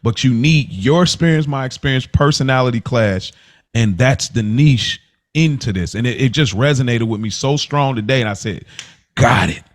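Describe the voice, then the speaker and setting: A man's voice: medium pitch, normal speed. A man co-hosting a podcast in casual conversation, reflective and emphatic, checking that listeners follow him. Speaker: podcast co-host.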